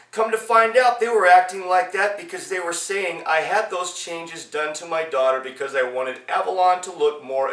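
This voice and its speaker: A man reading his own personal memoir aloud as its author, in a halting way with repeated phrases. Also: on the high side, fairly bright tone. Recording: echoey room, distant from mic.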